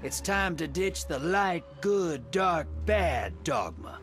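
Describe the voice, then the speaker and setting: A man's voice: on the high side, big pitch swings, slow pace, fairly bright tone, soft background music, exaggerated voice. A man voicing a sly, smug, folksy rogue in a video game, with a drawling delivery.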